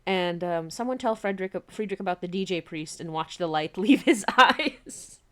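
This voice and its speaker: A woman's voice: fairly low pitch, normal speed. Giggly woman hosting a casual chat. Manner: giggly, animated, chatty. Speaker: podcast host and writer.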